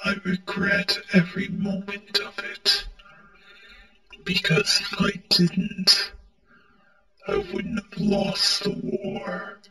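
A man playing a war-weary, mournful robot prince in a halting, melodramatic monologue. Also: sits high, completely flat delivery, bright, forceful tone, reverberant, soft background music, exaggerated voice, poor audio. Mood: mournful, regretful. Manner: halting, melodramatic, broken phrasing. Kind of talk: dramatic amateur character monologue.

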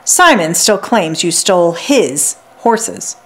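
A woman voicing a kindly, brisk, plain-spoken healer woman from a children's storybook. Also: fairly low pitch, sing-song. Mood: matter-of-fact.

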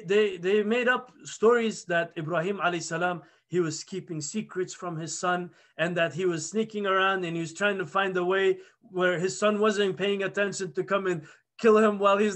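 A man in his thirties teaching a halaqa, earnestly retelling a prophet's story with frequent restarts, recorded on a webcam indoors. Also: sits high. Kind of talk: storytelling religious lesson. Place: plain indoor room. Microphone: webcam video call.

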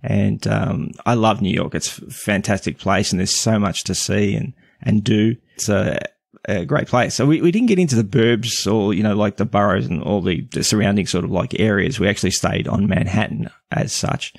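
Man, podcast host, gravelly, casual rambling chat, dry recording. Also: lowish voice, normal speed, no room sound. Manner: rambling, hesitant with many restarts. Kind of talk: casual interview.

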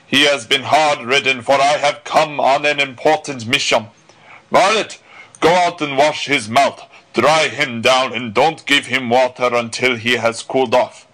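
Guy playing a blustery bully bossing everyone around, insulting, sharp forceful voice, audiobook drama. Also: mid-range voice, fairly even intonation, unhurried, lightly acted, poor audio. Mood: bullying, commanding. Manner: imperious, insulting, barking orders. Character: blustering, swaggering bully of a nobleman's henchman.

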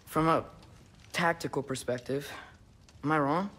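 A man's voice: on the high side, a little slow, pushed and bright. A young-sounding man playing an earnest, determined teenage boy in a dramatic video game. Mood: earnest pleading.

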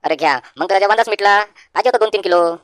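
A man's voice: high voice, quick pace, fairly bright tone, clean tone, no echo, clean studio sound. A man voicing a folksy village grocer in a comic cartoon, with a rural Varhadi Marathi accent and a plain-spoken way of talking. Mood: friendly, preoccupied. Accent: rural Maharashtra, Varhadi. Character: male village grocer, exasperated, distrustful creditor.

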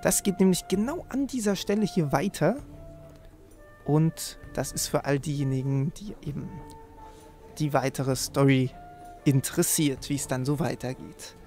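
A man with a creaky voice hosting a let's play, commenting on the game and reading quest text aloud. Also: a little high, normal speed, darkish tone. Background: game music.

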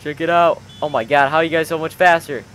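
A man's voice: a little high, fairly even intonation, fast talker, fairly bright tone, slight background noise, poor audio. A man hosting a gaming video, chatting in informal slang as he roleplays and comments on the game with friends. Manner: chatty, informal, peppered with bro-style slang.

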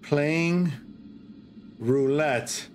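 A middle-aged man hosting a livestream, chatting casually and reading a horror story in a drawn-out, theatrical way with pauses. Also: a little high, a little mellow, slight background noise. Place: indoor streaming setup. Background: music.

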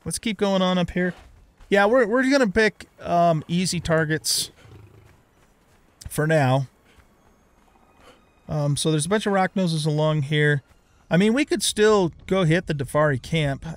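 Higher-pitched middle-aged man, rambling game commentary. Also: a little slow, long pauses. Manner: rambling, thinking aloud. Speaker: let's play host. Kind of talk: casual gameplay commentary.

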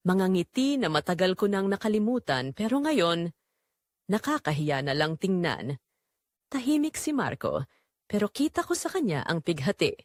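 A woman's voice: on the low side, no echo, clean studio sound. A woman reading dramatic first-person scripted narration as the narrator of an audio drama.

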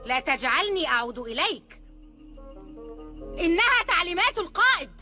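Shrill, anxious mother gorilla. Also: sing-song, a little fast, music in the background. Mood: scolding. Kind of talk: Arabic cartoon dubbing.

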